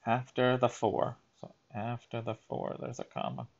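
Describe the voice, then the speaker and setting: A man reading a children's picture book aloud in a deliberate, emphatic teaching manner, adding explanatory asides. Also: medium pitch, monotone, vocal fry, lo-fi sound.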